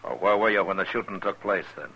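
An American man playing a steady, dry-humored frontier marshal in an old-time radio western, putting questions in a measured, pointed way. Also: low-pitched, slightly rough. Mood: firm, probing. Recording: dull lo-fi old radio recording.

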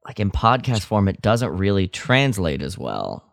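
A man co-hosting a podcast, chatting informally and thinking aloud in a halting way. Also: low-pitched, huge pitch swings, vocal fry, dry recording, studio quality. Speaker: podcast co-host. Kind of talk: casual chat, media discussion.

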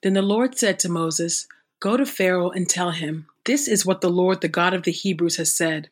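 A woman reading scripture aloud at a measured, steady pace. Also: fairly low pitch. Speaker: scripture reader.

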